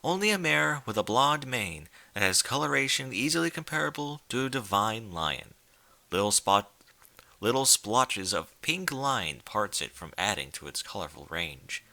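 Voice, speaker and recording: A man reading stories aloud in a theatrical, deliberate style of dramatic scripted narration. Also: on the low side, sing-song, gravelly, bright, forceful tone, no room sound. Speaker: story reader.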